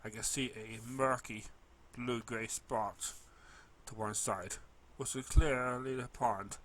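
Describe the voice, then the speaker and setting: A man narrating a first-person story expressively, with an animated manner and the occasional stumble over words. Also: medium pitch, slow, a little hiss or hum.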